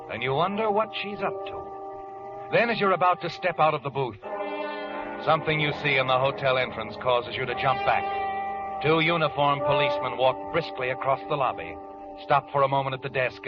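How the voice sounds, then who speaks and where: Male actor as insinuating all-knowing narrator, mid-pitched, vintage suspense radio, dull sound. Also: a little fast, close to an everyday voice. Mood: suspenseful, insinuating. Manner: insinuating, with needling tag questions. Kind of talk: 1940s radio suspense narration in second person. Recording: muffled vintage radio recording. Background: dramatic background music.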